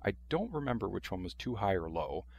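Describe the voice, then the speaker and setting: Low, gravelly man with background noise. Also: sing-song, a little fast. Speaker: video host showing a restored vintage radio. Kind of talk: how-to demonstration narration.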